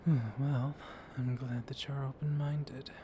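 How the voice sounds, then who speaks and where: A man voicing a civil, softly dominant, centuries-old vampire librarian in an ASMR roleplay, hushed and often slipping into whispers. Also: medium pitch, takes it slowly, close to an everyday voice. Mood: soft, flirtatious. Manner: hushed, breathy, often whispering, teasing with soft chuckles. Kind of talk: ASMR roleplay, intimate one-sided dialogue. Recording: noisy storm ambience behind voice. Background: thunder and steady ambient noise.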